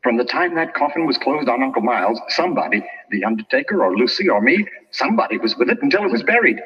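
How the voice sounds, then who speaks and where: An actor playing an anxious, bewildered and defensive man in an old-time radio mystery, earnest and halting. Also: medium pitch, big pitch swings, close to an everyday voice. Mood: shocked, puzzled. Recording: muffled, reverberant old radio recording.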